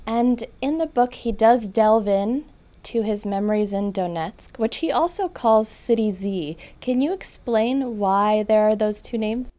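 A woman interviewer asking measured questions and narrating between answers. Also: a little high, mellow.

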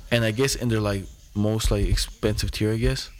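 A man's voice: on the low side, fairly even intonation, normal speed. A man co-hosting a casual podcast, chatting in a slangy way and laughing often. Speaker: podcast co-host. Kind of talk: casual chat.

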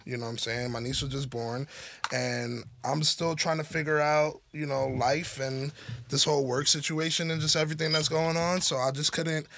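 A man's voice: a little high, little pitch movement, moderate pace, creaky, a bit bright, no echo. A man in his twenties co-hosting a podcast, chatting casually in a laid-back, slangy way full of "you know what I'm saying". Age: 20s. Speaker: podcast co-host. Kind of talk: casual chat.

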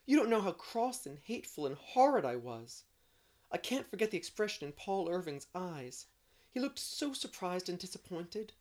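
A distraught young woman teacher, as an audiobook narrator puts her on, her voice lifted high and pleading between sobs. She pours out her remorse in a rush of feeling, quick and heightened, in a storybook reading. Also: sing-song, darkish tone, natural voice. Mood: distressed.

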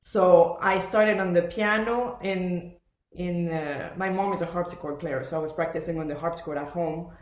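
A woman's voice: fairly low pitch, steady tone, normal speed. A woman from Argentina and Montreal speaking as an interview guest, telling personal stories conversationally and acting out quoted remarks. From born in Argentina, raised in Montreal, Canada. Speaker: podcast guest, professional orchestral bassoonist. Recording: echoey room sound.

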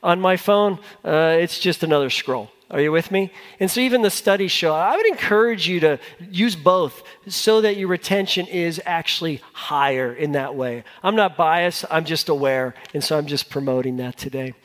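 A man's voice: a little high, normal speed, hardly any pauses. A man preaching as a pastor in an informal, conversational style, repeating phrases for emphasis and putting questions to his listeners. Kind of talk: conversational sermon. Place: large church hall with a congregation. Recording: long hall reverb.

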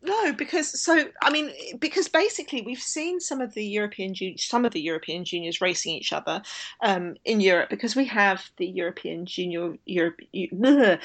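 A woman's voice: medium pitch, animated, normal speed. Female podcast host, informal and talkative, prone to wandering off on tangents. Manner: chatty, digressive. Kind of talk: casual chat podcast about women's cycling.

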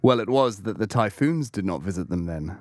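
A man reading a classic novel as an audiobook, with measured, expressive storytelling delivery. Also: fairly low pitch, no room sound, studio quality. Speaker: audiobook reader. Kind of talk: literary audiobook narration.

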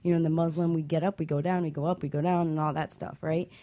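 A woman's voice: deep voice, little pitch movement, quick pace, dark tone. A woman hosting a casual panel discussion, talking in a conversational, reflective way. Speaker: talk show host.

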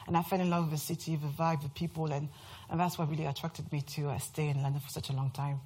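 A woman in her thirties with a French accent speaks to a live audience in an event room. Her voice sits a little low and has a husky edge. She talks in a chatty, self-mocking way, joking at her own expense as she presents. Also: level tone, fast talker, a little mellow. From France. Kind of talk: lively presentation talk.

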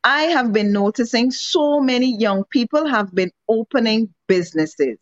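An earnest woman making her points emphatically in a discussion, and now and then asking rhetorical questions. Her voice sits fairly high. The sound is dull and lo-fi with no room at all. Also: lively intonation, normal speed, no echo. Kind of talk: casual discussion.

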